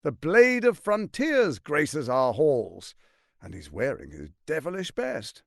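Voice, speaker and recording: A man's voice: a little high, very animated, takes it slowly, gravelly, a bit bright, dry recording, studio quality, heavily acted. A man playing a suave, scheming, arrogant tyrant lord in a video game, with a pompous, silky, theatrical delivery. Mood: grandiose welcome.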